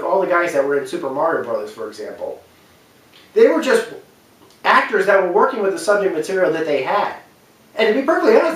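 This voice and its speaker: A man giving an unscripted opinion talk as a video commentator, speaking emphatically in a halting, repetitive, rambling way. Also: high-pitched, a bit bright. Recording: very echoey room, distant microphone.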